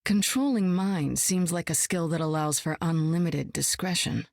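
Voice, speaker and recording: A seasoned woman superspy with a cool, wry, self-assured delivery. Her voice sits a little low and is recorded close and dry, with no room around it. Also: normal speed, creaky, a little mellow, dry recording. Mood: cool, teasing. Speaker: game hero. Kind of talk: video game voice lines.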